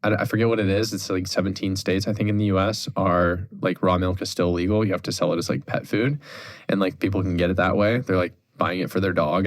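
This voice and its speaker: A young man with a deep, low voice chats easily as a podcast guest. He talks loosely, leaning on "like" and "dude" as he rambles through his points. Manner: relaxed, filler-heavy, says "dude" and "like" often. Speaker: podcast guest, holistic health influencer. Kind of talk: casual chat.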